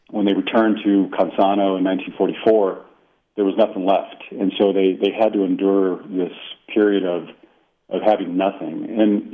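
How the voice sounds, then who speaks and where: A middle-aged man, an author who grew up speaking Italian, talking reflectively in a radio interview with many fillers and restarts. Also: low voice. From Colorado, United States. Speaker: author guest. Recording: tinny phone line.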